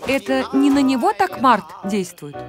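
Exasperated high-voiced cartoon mom. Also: female voice, very animated, normal speed, over music. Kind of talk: cartoon voice acting.

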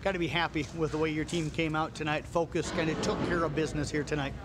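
Fast-talking male sports radio reporter. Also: a little high, flat delivery. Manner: quick, friendly sports-radio questioning. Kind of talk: post-game interview questions. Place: school gym, indoors. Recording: crowd noise in a gym.

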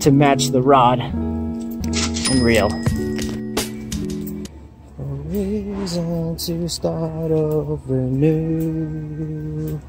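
A young man talking casually to the camera in a relaxed, chatty way with lots of fillers, first in a store and then from his car. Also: on the high side, extremely slow, long pauses, music in the background. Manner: relaxed, chatty, self-mocking, many fillers. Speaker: fishing vlogger. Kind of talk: casual vlog talk to camera. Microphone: camera mounted on dashboard.

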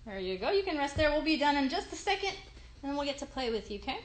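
A woman's voice: high-pitched, big pitch swings, fast. A woman in her thirties hosting a chatty children's livestream in an encouraging, child-directed way, recorded on a phone in a bedroom. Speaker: host, puppeteer. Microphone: phone at arm's length.

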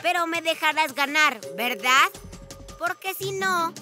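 A woman's voice: very high voice, expressive, a little slow, exaggerated voice. A woman voicing a bratty, gloating boy ninja villain in a cartoon dub. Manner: gloating, taunting. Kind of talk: animated series dub. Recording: studio voice with loud music.

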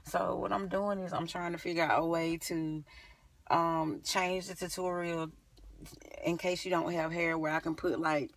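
A woman's voice: on the low side, little pitch movement, moderate pace, vocal fry, dry recording. A young woman talking casually to camera as a beauty vlogger, informal and rambling as she thinks aloud. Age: young adult. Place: inside a parked car. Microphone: phone at arm's length. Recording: slightly distant phone recording.

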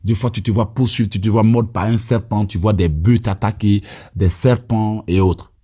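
A man's voice: fairly low pitch, steady tone, normal speed, dark tone, slightly rough. A man in his thirties from Côte d'Ivoire, with an Ivorian French accent and Abé as his first language, preaching emphatically with frequent stammered restarts, filmed outdoors on a phone held at arm's length. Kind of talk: deliverance prayer teaching.

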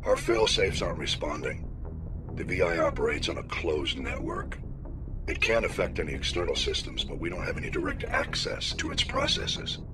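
A man playing a stern older military admiral in a video game, briefing with gruff, urgent authority. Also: deep voice, huge pitch swings, moderate pace, over music. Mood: grave, commanding.

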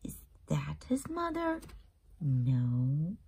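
A woman hosting a read-aloud for young children, narrating expressively and pausing to explain and ask simple questions. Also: deep voice, wildly swooping pitch, dark tone, slightly rough. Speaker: storybook reader and channel host.

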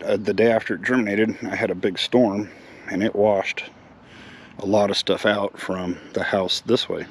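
A middle-aged American man talking casually in a plain-spoken, matter-of-fact way, like a vlog host explaining a job. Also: lowish voice. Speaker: host of a lawn care vlog. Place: outdoors in a residential yard, later an open garage. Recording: clear outdoor ambient noise.

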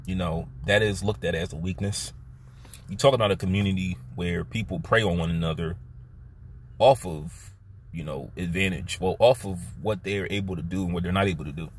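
Young man, very deep voice, halting casual monologue, phone at arm's length, inside a car, faint hum behind. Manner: halting, with many restarts and fillers, pitch jumping up on stressed words. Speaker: vlogger. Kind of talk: casual opinion monologue to camera. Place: inside a parked car by day. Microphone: phone camera held at arm's length.

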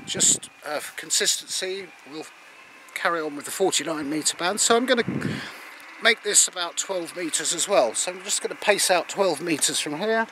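British guy in his 60s doing a casual demo outside, slightly high voice, stop-start explaining, bit of background noise. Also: far from the mic. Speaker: presenter of radio antenna demonstration. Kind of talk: casual unscripted practical demonstration. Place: outdoors in a large grassy garden. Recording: outdoor camcorder, clear background ambient noise.

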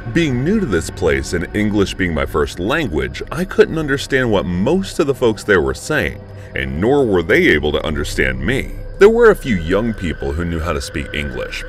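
A man narrating a first-person horror story in a studio voice-over, jumping up when indignant and going low and hushed in tense moments, over background music. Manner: expressive, pitch jumps up on indignant lines, drops low and quiet in tense moments.

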